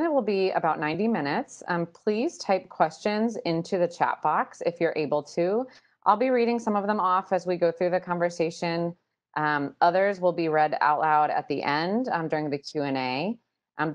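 An American woman in her thirties hosting an online panel over a video call, partly reading out introductions through an earbud mic. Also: sits low. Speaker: panel moderator. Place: small room at home, indoors. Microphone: wired earbuds on a video call.

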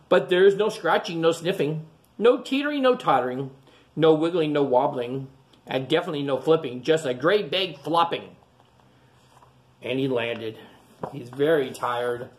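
Slow, animated man reading to kids. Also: fairly high pitch. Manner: animated, explains the story to children between pages. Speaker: teacher reading a picture book aloud. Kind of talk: children's storytime read-aloud. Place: classroom, indoors. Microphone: webcam at close range.

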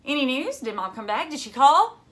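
A woman's voice: sits high, big pitch swings, moderate pace, fairly bright tone, pitched above the performer's own voice. A woman voicing a dramatic, stubborn, anxious schoolgirl in a storybook, with an insistent, prim manner. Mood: frantic.

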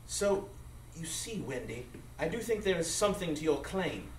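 A man playing a polite, long-suffering judge in a live radio drama, with a formal, expressive delivery. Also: fairly high pitch, moderate pace. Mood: resigned. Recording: distant echoey live stage, noisy.

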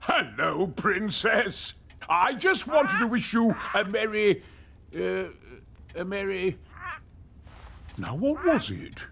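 A man's voice: high-pitched, big pitch swings, takes it slowly, creaky, pauses often, pushed and bright, breathy edge, heavily acted. A man voicing a jolly, absent-minded old great-uncle in a children's cartoon, bumbling, hesitant and muttering. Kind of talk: animated children's cartoon acting.

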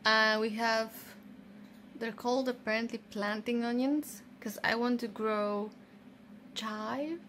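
Young woman vlogging at home, non-native english, chatty and easygoing, a bit high, light hiss behind. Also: fairly even intonation, takes it slowly, fairly bright tone. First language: not English. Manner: chatty, easygoing, self-explaining. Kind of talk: casual vlog chat. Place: home bedroom, indoors.

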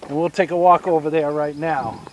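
A man in his fifties with a Southern US accent, giving a casual outdoor tour of his homestead and explaining each step with pauses. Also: fairly high pitch, fairly even intonation, slight background noise. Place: outdoors on rural land by a pine forest. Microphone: action camera held at arm's length.

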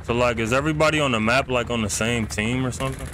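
A man's voice: medium pitch, quick pace, bright, forceful tone, a little hiss or hum. A man giving casual, slangy live commentary as he streams a video game, in a laid-back manner.

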